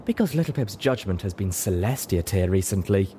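A man's voice: lowish voice, expressive, moderate pace, warm tone, slight background noise, close to an everyday voice. A man voicing an elegant, sardonic female unicorn with a drawling, sighing, ladylike manner in a fantasy audio story. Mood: weary sarcasm.

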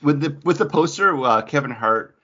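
A man's voice: on the high side, animated, normal speed, sharp tone, highs cut off. A man co-hosting a podcast in casual chat, with an informal, jokey, geeky enthusiasm. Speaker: podcast co-host.

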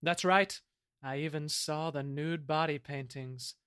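A man's voice: a little high, steady tone, measured pace, a bit bright, clear, resonant tone, no echo, clean studio sound. Man acting a self-important, declamatory historian. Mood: earnest, grandiose. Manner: declamatory, formal. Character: earnest, self-important male historian narrator, a convicted forger.

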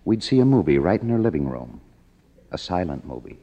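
A man's voice: low voice, big pitch swings, a little fast, creaky, dark tone, breathy edge, heavily acted. An actor playing a world-weary, cynical man, wry and hardboiled, in a 1950s radio drama. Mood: wry, sardonic. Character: cynical, world-weary kept man narrating his story. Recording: muffled old radio recording, music behind.

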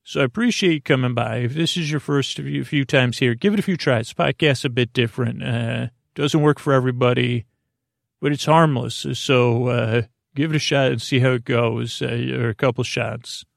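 A man hosting a bedtime podcast, rambling haltingly through whimsical, improvised asides and stumbling over his words in a creaky voice. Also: mid-range voice, no echo, studio quality. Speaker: podcast host. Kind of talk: rambling improvised bedtime monologue to help listeners fall asleep.

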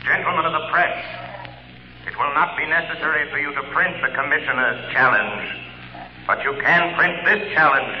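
Male actor as menacing mystery crime-fighter, slow ominous delivery, cavernous reverb, old radio drama. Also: fairly high pitch, lightly acted. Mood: ominous, taunting. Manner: slow, deliberate, ominous, commanding. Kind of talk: 1940s radio drama acting. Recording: dull old radio recording, hall reverb, faint music.

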